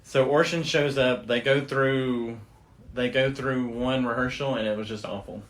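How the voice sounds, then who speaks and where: A man's voice: mid-range voice, fairly even intonation, a little slow. A man with a mid-range voice talks as a podcast host. He explains things in an informal, conversational storytelling style, phrasing them loosely as he goes. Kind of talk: casual storytelling chat.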